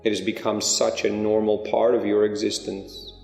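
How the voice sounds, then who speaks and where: A low male voice leads a guided meditation in deliberate, measured phrases, leaving long pauses between them. The sound is washed in echoey, hall-like reverb, with music playing behind him. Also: level tone, vocal fry, darkish tone. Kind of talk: guided meditation, spiritual channeling.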